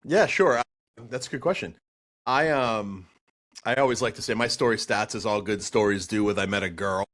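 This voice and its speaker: A man in his forties with a Boston accent, a podcast guest telling his story in a chatty, animated way with lots of fillers and restarts. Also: on the low side, moderate pace. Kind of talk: storytelling interview answer.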